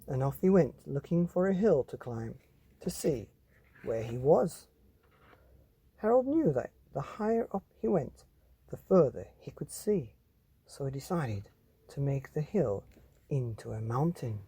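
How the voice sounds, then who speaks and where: A man reading a children's bedtime story as a podcast host, speaking straight to young listeners in drawn-out, dramatic phrases. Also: a little high, warm tone, husky, dry recording.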